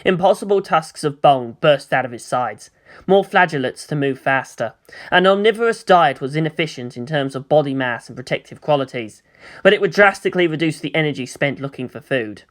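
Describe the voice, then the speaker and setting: A story narrator reading dramatic action fiction in a measured, expressive way. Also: mid-range voice.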